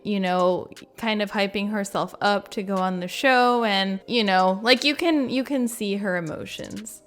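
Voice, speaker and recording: Female gaming creator, casual and chatty, mid-pitched voice, off-the-cuff reaction commentary over music. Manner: chatty and informal, frequent "like" and "you know".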